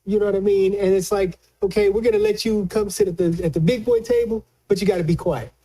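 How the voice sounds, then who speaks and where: A man giving conversational political commentary as a news analyst, colloquial and halting, often repeating words as he explains. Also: sits high, fairly even intonation, dark tone.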